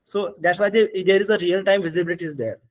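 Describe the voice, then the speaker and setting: A man with an Indian accent walking through software slides as a webinar presenter, in halting, repetitive phrasing. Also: high-pitched. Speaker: webinar presenter, ERP consultant. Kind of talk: software demonstration and slide walkthrough. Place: indoor room, on a video call.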